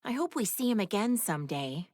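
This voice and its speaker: A woman's voice: medium pitch, very animated, brisk, darkish tone, no room sound, studio quality, close to an everyday voice. A woman voicing a sassy, confident adult woman in an anime video game, breezy and frank. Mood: breezy explaining. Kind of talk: animated game voice acting.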